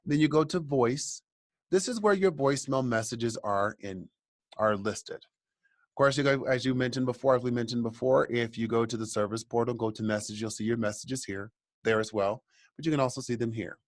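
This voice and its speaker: A man's voice: medium pitch, moderate pace, dry recording. Man giving a dry, close step-by-step tutorial. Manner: instructional, step-by-step, addressing viewers directly. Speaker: tutorial instructor. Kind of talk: software tutorial walkthrough, semi-scripted explanation.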